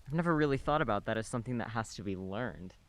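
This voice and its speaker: An actor playing a polite, soft-spoken male nurse in a radio play, a middle-aged neighbor who talks matter-of-factly. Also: fairly low pitch, lively intonation, fast. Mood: calm, friendly. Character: gentle older male nurse neighbor, married, childless.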